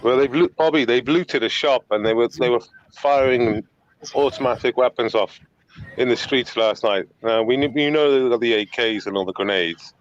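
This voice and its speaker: A man's voice: mid-range voice, normal speed, dull audio. A Welsh man hosting a live stream and commenting casually on riot footage, rambling with crude, sarcastic asides. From Wales.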